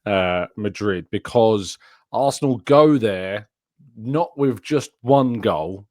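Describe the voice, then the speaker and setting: A man's voice: on the low side, lively intonation, slow, darkish tone, no room sound. Young man, podcast host, chatty, self-deprecating, desk mic at home, dry sound. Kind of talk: casual football podcast chat. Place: home room, video call. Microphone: studio mic on desk with headphones.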